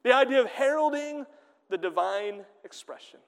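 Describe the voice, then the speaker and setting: A man's voice: very high-pitched, expressive, normal speed, in a large hall. A man preaching a sermon with fervent delivery, his voice swelling and dropping as he reads scripture in old Bible wording.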